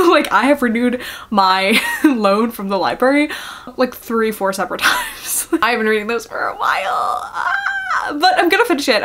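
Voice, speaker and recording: A woman's voice: mid-range voice, a bit bright. Giggly young woman chatting casually. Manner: giggly, rambling, self-deprecating. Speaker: book vlogger.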